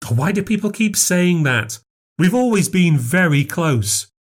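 A man's voice: a little high, lively intonation, unhurried, pitched above the performer's own voice. A man voicing a genteel, self-righteous grandmother in an audiobook, prim and insistent. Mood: defensive. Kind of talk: audiobook character voice.

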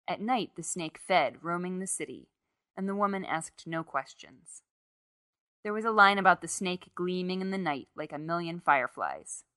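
A woman's voice: fairly low pitch, fairly bright tone, no room sound, clean studio sound. A woman reading a literary short story aloud as scripted narration.

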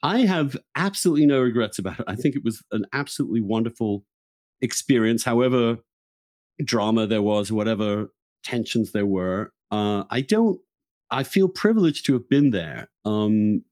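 A man hosting a conversational interview, speaking in an animated way and often restarting his phrases. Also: medium pitch, moderate pace, no room sound, studio quality. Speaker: podcast host and interviewer.

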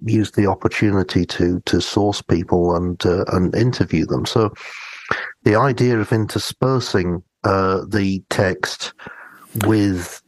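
A man's voice: low voice, unhurried, no room sound, rough recording. A man co-hosting a podcast, talking conversationally and thoughtfully in a hesitant way about a drama. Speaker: podcast co-host. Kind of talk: conversational discussion of a radio drama.

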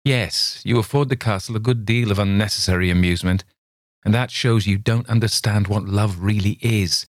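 A man playing a sceptical gentleman who speaks in a cool, dryly ironic way. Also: on the low side, fairly even intonation, moderate pace, no echo, clean studio sound, lightly acted. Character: sceptical man, cool, dry, faintly mocking.

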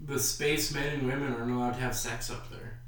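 A man's voice: mid-range voice, steady tone, fairly quick, fairly bright tone, slight background noise. Distant man in a very echoey room. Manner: crude, joking. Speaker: podcast co-host. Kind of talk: casual chat.

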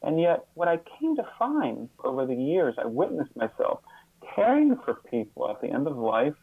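A man being interviewed as a guest, speaking reflectively and haltingly, often repeating words and restarting his sentences. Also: on the high side, wildly swooping pitch, slightly rough, no echo, narrow, tinny audio.

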